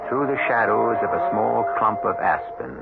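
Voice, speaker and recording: A man hosting and narrating an old radio drama in a deliberate, ominous, literary storytelling style. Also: on the low side, creaky. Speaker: host and narrator. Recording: muffled old radio broadcast. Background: music.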